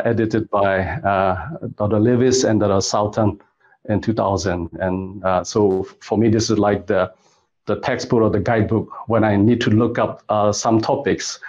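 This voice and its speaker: Middle-aged guy, kinda low voice, halting and gentle, muffled lo-fi sound. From Burma. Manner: halting, searching for words, gentle. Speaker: guest expert. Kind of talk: casual interview answers.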